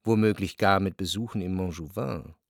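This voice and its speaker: An actor playing a jealous, brooding man recalling an obsessive love, in reflective, measured literary radio drama narration. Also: deep voice, creaky, no echo, studio quality. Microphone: close dry studio microphone.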